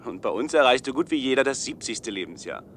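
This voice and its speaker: A man's voice: medium pitch, big pitch swings, fast, fairly bright tone, slight background noise. An actor playing an earnest, persuasive man from a sheltered society in a science-fiction radio play. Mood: earnest pleading.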